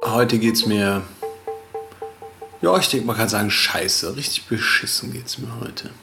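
A man's voice: on the low side, unhurried, vocal fry, over music. An actor in a radio drama playing a man of twenty-eight, keeping a rambling, self-deprecating audio diary in casual speech. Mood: fed up. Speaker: diarist trying out a self-help book.

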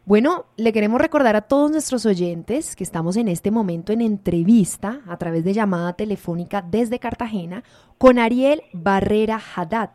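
A woman's voice: medium pitch, moderate pace, warm tone, dry recording. A woman hosting a radio interview, asking formal, polite questions. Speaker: radio host.

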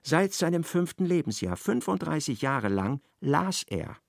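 Casting: male narrator, gravelly, lively melody, dry studio. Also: a little high, dry recording. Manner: measured, expressive storytelling. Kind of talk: literary radio narration.

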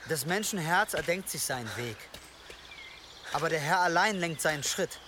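An actor in a German radio drama playing a gentle, devout monk with a halting, pious manner. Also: male voice, fairly high pitch, animated, noisy background, close to an everyday voice. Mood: gentle, sorrowful. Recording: clear outdoor ambience behind.

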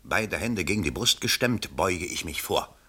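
An actor in a 1970s German radio play, playing a pompous yet anxious election candidate with theatrical oratory. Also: male voice, sits low, expressive, moderate pace, sharp tone, husky, heavily acted. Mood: nervous self-coaching. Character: pompous, anxious male election candidate rehearsing and declaiming speeches.

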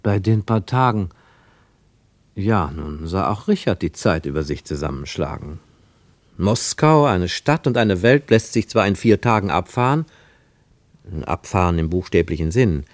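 Lively low-voiced male narrator played by an actor. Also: no room sound, natural voice. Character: wry, observant male narrator. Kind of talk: literary radio play narration.

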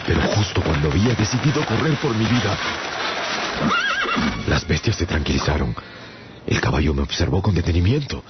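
A male actor playing an afflicted castaway traveler who tells his story earnestly and dramatically in a gravelly voice, in a radio drama. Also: low voice, big pitch swings, moderate pace, pauses often, very noisy. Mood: tense, recounting. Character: afflicted English castaway man recounting his strange voyages. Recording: dull sound under prominent music. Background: music.

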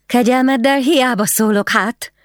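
A woman's voice: a little high, no room sound, clean studio sound, pitched above the performer's own voice, close to an everyday voice. A woman voicing a proud noblewoman who speaks to her husband in a cool, firm, commanding way. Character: proud, stern noblewoman wife.

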